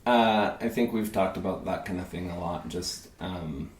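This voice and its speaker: Deep-voiced guy in his thirties, grew up in peru, stumbly and full of fillers, echoey room with a bit of hiss. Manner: halting, restarts often, filler-heavy. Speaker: guest. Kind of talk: casual conversation. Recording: echoey room with faint noise.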